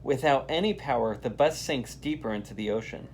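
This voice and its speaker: A volunteer storyteller reading aloud to children in an animated style. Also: mid-range voice, brisk, a little hiss or hum.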